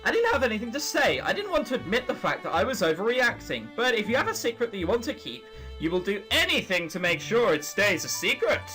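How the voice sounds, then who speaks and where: A man's voice: high-pitched, sing-song, fast talker, bright, forceful tone, soft background music, full character voice. A man voicing a fretful, embarrassed young female troll who narrates her story in an earnest, dramatic way. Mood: flustered. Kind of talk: visual novel narration read aloud.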